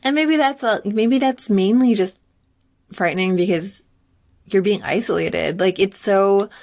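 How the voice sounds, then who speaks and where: Woman, podcast co-host, casual chat, halting, searches for words. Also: mid-range voice, darkish tone. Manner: hesitant, many "like" fillers, searching for words.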